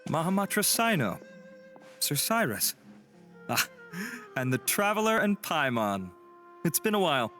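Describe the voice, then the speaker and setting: An actor playing a dutiful, respectful officer in a video game, formal and obliging. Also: male voice, a little high, sing-song, unhurried, pauses often, a bit bright, faint music behind. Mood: polite greeting. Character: dutiful, respectful male officer reporting to superiors.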